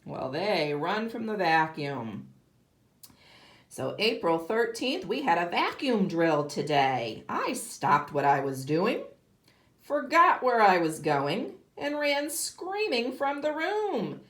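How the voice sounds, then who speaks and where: A woman kindergarten teacher reading a picture book aloud expressively to young children, pausing to ask them questions. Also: medium pitch, big pitch swings, a bit bright. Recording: roomy, echoey sound.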